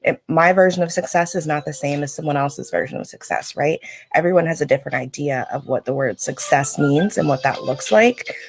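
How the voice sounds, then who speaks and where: A woman hosting a livestream, reading oracle cards and chatting to viewers in a casual, reflective way, her throat scratchy and needing clearing. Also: low voice, fairly quick.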